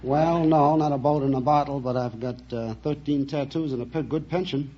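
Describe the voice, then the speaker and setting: A man's voice: medium pitch, steady tone, normal speed. A man of fifty from Massachusetts, giving short, easygoing, folksy answers as a quiz show contestant. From Boston, Massachusetts. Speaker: quiz contestant, retired sailor. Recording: dull old radio broadcast, faint audience noise. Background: studio audience.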